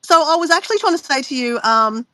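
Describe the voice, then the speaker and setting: A woman's voice: high-pitched, animated, fast, sharp tone, rough recording. Stuffy-nosed woman, chatty guest. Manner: chatty, rambling with restarts. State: stuffy nose, sniffly. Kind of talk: casual chat on a video call.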